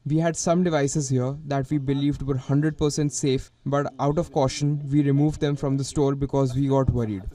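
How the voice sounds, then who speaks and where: A man with a dark, soft-edged voice at an average pitch. He delivers a statement for a news report. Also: flat delivery, moderate pace, few pauses.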